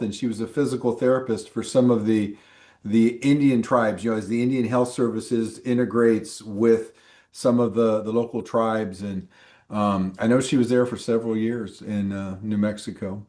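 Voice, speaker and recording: A man's voice: medium pitch, fairly even intonation, moderate pace, darkish tone. A male instructor chatting casually with students on a video call, rambling and full of ums and restarts. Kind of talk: casual chat with students in a live class.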